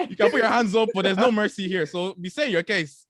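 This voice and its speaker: A man, co-hosting a casual podcast and teasing his friends in fast banter. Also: high-pitched, a bit bright, no echo, clean studio sound. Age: 20s. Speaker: podcast co-host. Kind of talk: casual group chat.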